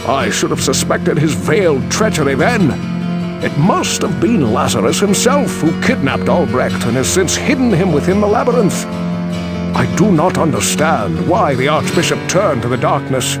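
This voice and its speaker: An actor playing a grave elderly sage in a fantasy game, speaking in a theatrical, portentous and urgent way. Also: male voice, on the high side, very animated, normal speed. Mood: urgent, regretful. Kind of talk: dramatic fantasy game voice acting. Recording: music under the voice. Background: loud guitar music.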